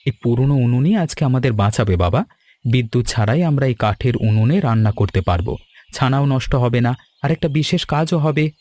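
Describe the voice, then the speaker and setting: A man playing an earnest, secretive young son with fond memories and plans, in an animated story. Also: mid-range voice, brisk, natural voice. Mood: reassuring.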